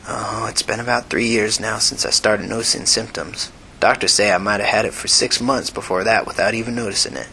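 A male reader performs a frail, sickly man from an audiobook story with a soft, folksy Southern drawl. He mumbles low and unhurriedly, tired and resigned. A faint noise sits behind the voice. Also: fairly even intonation, fairly quick, creaky, poor audio. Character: sickly thin weary man, slow and resigned.

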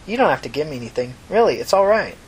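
Man playing a high-pitched, blunt teenage runaway girl. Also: sing-song, fast, slight background noise. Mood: casual, modest. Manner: blunt, slangy. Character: streetwise, exasperated but kind teenage runaway girl. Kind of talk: dramatised fiction reading.

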